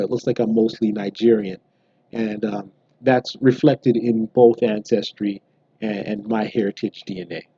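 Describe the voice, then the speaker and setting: A man in his thirties from Canada speaks straight to the camera from a small bright room at home, relaxed and explanatory, with the odd um and restart. His voice is very dark and mellow with a husky edge. The sound is dry and a little dull. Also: medium pitch, dry recording. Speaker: genealogy vlogger. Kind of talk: casual direct-to-camera vlog.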